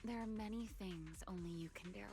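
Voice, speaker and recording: A woman playing a composed, enigmatic fighter and mentor in an anime-style game, unhurried, playful and slightly formal. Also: medium pitch, dark tone, faint music behind, close to an everyday voice. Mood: reassuring.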